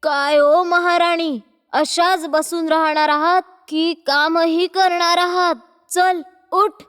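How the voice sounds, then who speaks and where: A woman's voice: extremely high pitch, a bit bright, full character voice. A woman voicing a bossy, nagging middle-aged mother-in-law in a cartoon moral story. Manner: nagging, exclamatory, domineering. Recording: long hall-like reverb.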